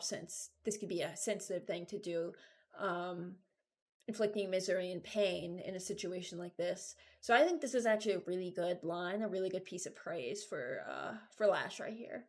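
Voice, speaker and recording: A woman's voice: on the low side, moderate pace. A woman giving casual reaction commentary as a video host, rambling and thinking aloud as she ranks game voice lines.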